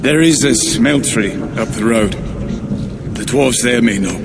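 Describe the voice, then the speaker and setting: An actor playing a boisterous, melodramatic dwarf poet in a video game cutscene, with a theatrical, declamatory delivery over loud background noise. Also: male voice, medium pitch, measured pace, natural voice. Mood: sombre, subdued. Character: boisterous, drink-addled dwarf poet, melodramatic yet mournful. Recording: loud ambient noise behind the voice.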